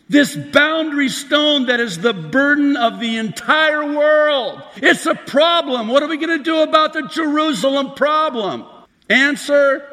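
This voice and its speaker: A man's voice: extremely high pitch, bright, forceful tone. A man preaching a prophecy sermon as pastor, emphatic and building to raised outbursts, recorded in a large hall. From Lebanon. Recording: long hall reverb.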